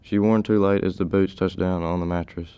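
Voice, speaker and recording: A man's voice: low voice, steady tone, brisk, mellow, smooth. A teenage male reading scripted story narration.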